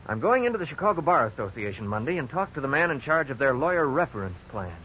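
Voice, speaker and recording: Worried twenties husband in old radio drama, earnest, exclaims a lot, sharp voice, muffled noisy recording. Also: male voice, mid-range voice, sing-song, fast talker. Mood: alarmed, resolute. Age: 20s. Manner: earnest, exclamatory, hesitant when troubled. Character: worried young debtor and husband, twenty-six, anxious but earnest. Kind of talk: 1950s radio drama acting.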